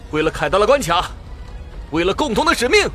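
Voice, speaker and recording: A man's voice: high-pitched, big pitch swings, fast, pushed and bright, faint music behind, heavily acted. A man acting as a young heroic knight commander in a radio drama, earnest, declamatory and protective. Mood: rousing, determined. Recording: battle ambience and noise behind.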